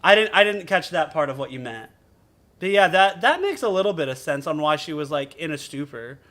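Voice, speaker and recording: A man giving casual live game commentary as a streamer, thinking aloud in a halting, musing way. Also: on the high side, lively intonation, a bit bright.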